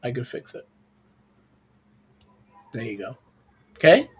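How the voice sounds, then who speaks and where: A man's voice: medium pitch, huge pitch swings, slow, slightly rough. A man hosting an informal religious teaching over an online video call, explaining didactically and repeating key words for emphasis.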